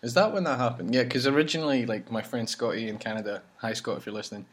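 A man's voice: medium pitch, fast, breathy edge. A man hosting a casual chat about his campaign travels, talking in a rambling way with many restarts. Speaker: campaign leader and vlog host.

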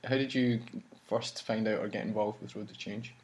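A man's voice: on the low side, steady tone, moderate pace, darkish tone. A man hosting a casual chat about his campaign travels, talking in a rambling way with many restarts. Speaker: campaign leader and vlog host.

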